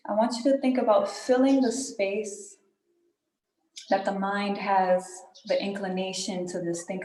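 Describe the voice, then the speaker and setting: A woman leading a guided group meditation in an unhurried, soothing way, pausing often between phrases. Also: medium pitch, mellow. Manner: unhurried, soothing instruction with pauses and check-in tags. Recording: roomy echo with music behind.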